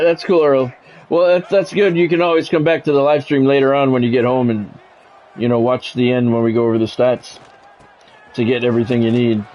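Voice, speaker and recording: A man's voice: mid-range voice, darkish tone, low-quality recording. A man streaming a football video game, mixing sports play-by-play with casual, salty chat to viewers and swearing freely.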